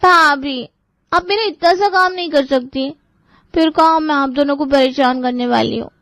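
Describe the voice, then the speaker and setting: A woman playing a high-voiced, wheedling young bride-to-be. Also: moderate pace, a bit bright, no room sound. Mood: demanding, sweet. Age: young adult. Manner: pleading, sweetly manipulative. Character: wheedling, spoiled young bride-to-be, pampered little sister. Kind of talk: Hindi family drama acting for story video.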